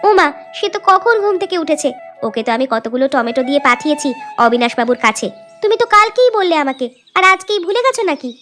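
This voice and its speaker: A woman plays an enterprising village farmer's wife in a cartoon fairy tale. Her voice is high and her talk is homely and questioning, over clear background music. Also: big pitch swings, fast talker, fairly bright tone. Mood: inquisitive, homely.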